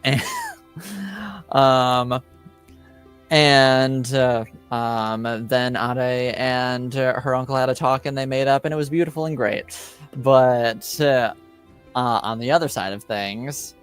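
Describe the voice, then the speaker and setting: Slow, halting man recapping a tabletop game. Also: medium pitch, steady tone, clear, resonant tone, faint music behind. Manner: halting, hesitant delivery with long filled pauses. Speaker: game master. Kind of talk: recapping a tabletop roleplaying session.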